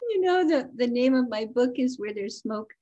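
A woman guest storyteller sharing casual personal anecdotes in an animated, humorous way from her home. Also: fairly high pitch, moderate pace, dull audio.

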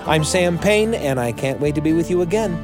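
Male radio host, scripted outro, lively melodic delivery with clear guitar music underneath. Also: a little high, a little fast.

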